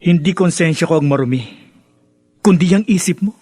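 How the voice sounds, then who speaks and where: A man's voice: a little high, a little fast, a little mellow, husky, no room sound. An actor playing an aggrieved, burdened man defending himself dramatically in a Filipino radio drama. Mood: hurt, defensive.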